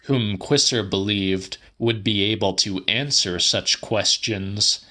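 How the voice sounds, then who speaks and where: A man narrating a literary audiobook in a measured, deliberate, dramatic style. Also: lowish voice, sharp tone.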